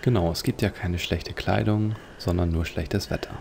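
A man giving casual, musing, unhurried commentary as he plays a video game. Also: deep voice, steady tone, creaky, darkish tone, slight background noise. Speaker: let's player.

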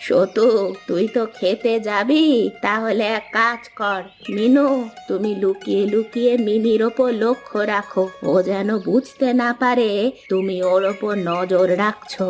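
A woman voicing a wise, gentle old village grandmother in a cartoon, giving advice in a measured, authoritative manner. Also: mid-range voice, steady tone, faint music behind, natural voice. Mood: instructing. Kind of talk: animated cartoon storytelling dialogue.

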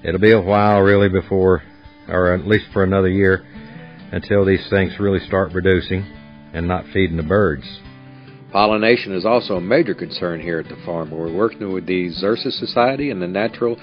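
A middle-aged American man narrating a tour as a farm guide. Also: low-pitched. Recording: dull lo-fi sound, guitar music behind. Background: acoustic guitar music.

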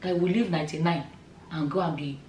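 A woman in her thirties with a Nigerian accent, giving animated opinion commentary straight to camera, full of rhetorical questions. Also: low-pitched, fairly quick, a little hiss or hum. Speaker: vlogger. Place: bright room indoors, seated in chair. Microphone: far camera. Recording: roomy echo, mic at a distance.

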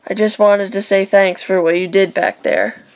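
A woman's voice: mid-range voice, steady tone, moderate pace, natural voice. A woman playing an apologetic but resolute townsperson in a comic book audio drama.

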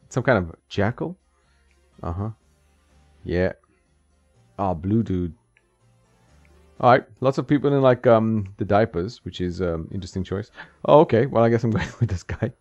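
Man, deep voice, casual streamer chatter, frequent ums, dry muffled home mic. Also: a little mellow, no room sound. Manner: rambling, thinks aloud with many ums and small laughs. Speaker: retro game streamer. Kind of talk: casual gameplay commentary. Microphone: desk mic.

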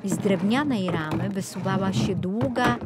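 A woman storyteller giving expressive scripted narration of a children's audiobook. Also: medium pitch, moderate pace. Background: music.

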